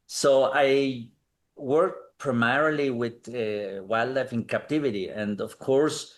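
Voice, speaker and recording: A man's voice: medium pitch, slow pace. A middle-aged man from Mexico City, a conservation manager answering interview questions thoughtfully, with many fillers and restarts.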